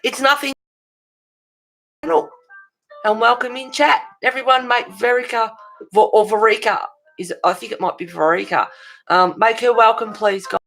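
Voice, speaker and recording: A woman with an Australian accent and a creaky voice, chatting informally and encouragingly as the host of a casual live stream, rambling a little. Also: a little high, steady tone, unhurried, pauses often, a bit bright, faint music behind, poor audio. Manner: rambling, informal, encouraging, addressing viewers as guys.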